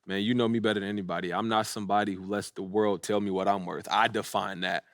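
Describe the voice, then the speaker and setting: An actor playing a cocky young American basketball player in a video game, talking in a breezy, slangy way. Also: male voice, on the low side, fairly even intonation, quick pace, no room sound, studio quality, lightly acted. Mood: confident, relaxed. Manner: self-assured, breezy, slangy. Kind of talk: naturalistic game cutscene dialogue.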